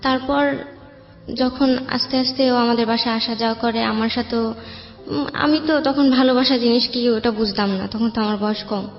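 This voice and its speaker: A woman with a Bangladeshi accent tells her own life story as a guest in an interview. Her voice sits a bit high. It sounds slightly muffled in an echoey space, with soft music underneath. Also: fairly even intonation, moderate pace. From Bangladesh, raised in Dhaka.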